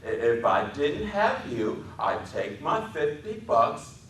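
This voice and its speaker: A man voicing a big, simple, childlike man, eager and pleading, with halting folksy speech, in a dramatic reading. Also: mid-range voice, sing-song, fairly bright tone, voice pushed up. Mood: eager, wheedling. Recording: roomy echoing hall, distant mic, faint noise.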